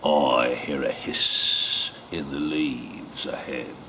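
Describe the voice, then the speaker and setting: A man voicing a big, gullible monster from a children's storybook, with slow, heavy, drawn-out words. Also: very deep voice, steady tone, gravelly, noisy background, pitched below the performer's own voice, exaggerated voice. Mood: puzzled.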